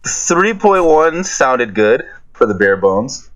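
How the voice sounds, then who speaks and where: A man's voice: medium pitch, sing-song, slow pace, a bit bright. A man chatting casually as a panelist in a fan Q&A, matter-of-fact with dry teasing. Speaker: musician panelist answering fan questions. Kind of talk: casual group chat. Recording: dull lo-fi voice chat audio.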